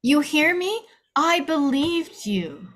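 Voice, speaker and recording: A woman's voice: sits high, very animated, slow pace, fairly bright tone, heavily acted. A woman playing a frightened young agency staffer, pleading and defensive, in a screenplay table read.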